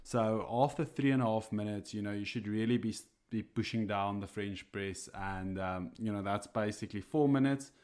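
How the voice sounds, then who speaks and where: A man's voice: fairly low pitch, moderate pace, hardly any pauses, a little mellow. A man whose first language is Afrikaans, co-hosting a podcast in casual, hesitant chat full of fillers and chuckles. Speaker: coffee roaster podcast co-host.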